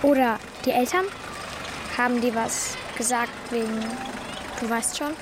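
A very high-voiced schoolgirl played by a woman talks in a children's radio play. She is the cheeky best friend, blunt and always prying. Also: fairly even intonation, unhurried, noisy background, close to an everyday voice. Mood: nosy.

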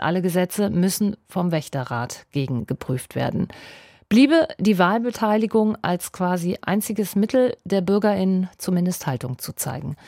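A woman's voice: lowish voice, no echo. Female radio news presenter, dry studio. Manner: crisp, even newsreader delivery. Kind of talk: scripted news introduction.

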